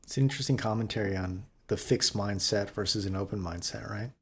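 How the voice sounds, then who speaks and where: Guy with a lowish voice chatting casually as a podcast cohost. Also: brisk, breathy edge. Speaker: podcast co-host.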